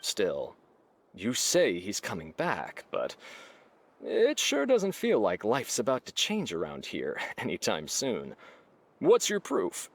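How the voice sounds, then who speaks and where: Wildly expressive, slow-talking villager. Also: male voice, lowish voice, slight background noise, exaggerated voice. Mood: skeptical, hopeful. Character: skeptical, nervous male desert villager. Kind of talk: video game voice acting.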